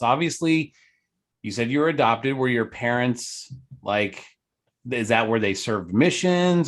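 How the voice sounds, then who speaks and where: Man, podcast host, casual chat, sarcastic jokes. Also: mid-range voice. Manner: joking, asks questions, digresses.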